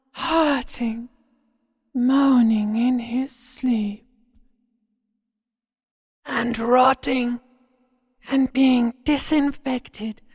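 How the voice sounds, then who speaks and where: A sinister inner voice of the ancient brain, close and dry with a long reverberant tail. It hisses and sneers in a raspy, strained half-whisper, dragging words out very slowly and theatrically, morbid and tormenting, like a video game monologue. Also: male voice, very high-pitched, heavily acted. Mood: anguished, ominous. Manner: slow, theatrical, drawn-out, swelling from whispers to cries.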